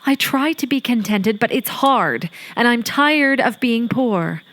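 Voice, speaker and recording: A woman playing a gentle, discontented young wife in a period audio drama, speaking softly and earnestly, as if confessing. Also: on the high side, expressive, measured pace. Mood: defensive, upset. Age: young adult.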